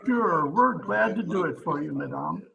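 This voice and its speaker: A man with a creaky voice playing a dim, cheerful crook in an amateur radio play. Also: sits high, animated, normal speed, dull audio, exaggerated voice. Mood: eager. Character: dim, cheerful male crook posing as governor. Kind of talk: amateur old-time radio play acting. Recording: crowd chatter behind, online call recording.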